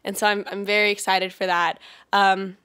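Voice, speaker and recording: A woman's voice: medium pitch, flat delivery, moderate pace, sharp tone, clear, resonant tone. A nineteen-year-old woman co-hosting a casual family podcast, chatty and giggly. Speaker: podcast co-host, actress. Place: room with shuttered doors, on a video call. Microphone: studio condenser mic, earbuds in.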